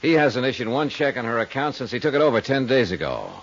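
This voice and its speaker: An actor playing a tough, wry insurance investigator and narrator in a 1950s American radio detective drama, dry and clipped. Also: male voice, medium pitch, very animated, fast talker. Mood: skeptical, needling. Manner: dry, clipped, hard-boiled. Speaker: actor in lead role, narrator. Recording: dull old radio recording, faint music.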